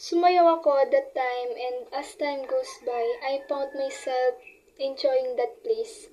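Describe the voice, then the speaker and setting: A woman voices a reflective young narrator with a high voice. She recounts her youth with regret in scripted radio drama narration, with clear music behind. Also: steady tone, takes it slowly, dark tone, low-quality recording.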